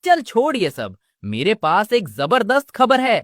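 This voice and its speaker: A woman's voice: medium pitch, wildly swooping pitch, measured pace, dry recording, clean studio sound. A woman voicing a brash, joking young male villager in a cartoon story, boisterous and teasing. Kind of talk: Hindi cartoon story acting.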